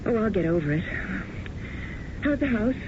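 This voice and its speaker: A woman's voice: medium pitch, very animated, normal speed, noisy background, natural voice. A woman playing an anxious actress of forty. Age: 40s. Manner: anxious, pleading. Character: frightened celebrated actress of forty. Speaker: stage actress. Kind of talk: 1970s radio drama acting. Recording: muffled old radio broadcast with music.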